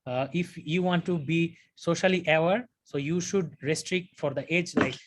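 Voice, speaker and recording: Brief: young Bangladeshi man, exam candidate, halting, fairly high pitch. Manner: hesitant, many fillers and restarts. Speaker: test candidate. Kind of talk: mock speaking exam answers.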